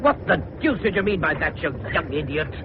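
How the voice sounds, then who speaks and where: An actor playing an irascible, sceptical middle-aged British police superintendent in a radio drama, blustering and barking in exasperation. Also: male voice, on the high side, sing-song, brisk. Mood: angry, alarmed. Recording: tinny, very noisy old radio recording.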